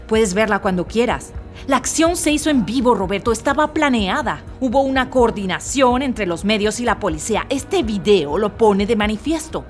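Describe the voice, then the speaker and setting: An actress playing a determined, principled foreign investigative reporter in an audio drama, with an assertive, earnest delivery. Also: female voice, a little high, lively intonation, normal speed, faint music behind, natural voice. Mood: urgent. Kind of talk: Spanish audio drama acting.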